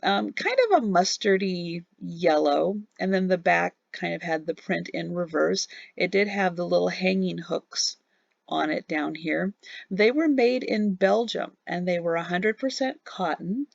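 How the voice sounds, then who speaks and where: Slow gravelly woman, lots of ums. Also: lowish voice, lively intonation, no echo, lo-fi sound. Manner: slow, halting, many ums. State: losing her voice. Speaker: online reseller. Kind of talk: screen-recorded sales recap. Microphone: voice-over on a screen recording.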